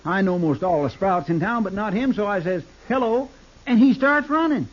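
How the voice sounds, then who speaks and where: High-voiced, fussy frontier deputy. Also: male voice, expressive, normal speed. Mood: earnest, self-justifying. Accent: American Western drawl. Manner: folksy, fussy, exasperated. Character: excitable, indignant, folksy frontier deputy, loyal to his marshal. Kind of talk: 1950s radio Western acting. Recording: dull, muffled 1950s radio recording.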